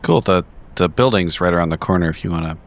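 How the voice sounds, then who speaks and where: A man improvising as a player in a tabletop role-playing game, speaking casually and haltingly. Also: low-pitched. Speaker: player in an actual-play podcast.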